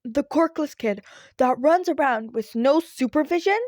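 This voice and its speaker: A woman voicing an excitable, self-important male hero, blustering and incredulous, in a story reading. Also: sits high, normal speed, no echo, studio quality, voice pushed up, close to an everyday voice. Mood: awkward, probing.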